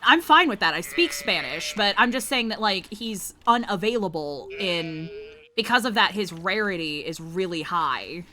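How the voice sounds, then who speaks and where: A woman's voice: mid-range voice, animated, moderate pace, pushed and bright. A woman streamer whose first language is Vietnamese, chatting casually while she plays, rambling, swearing and putting herself down. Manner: profane, rambling, self-deprecating. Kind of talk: casual gaming stream chat.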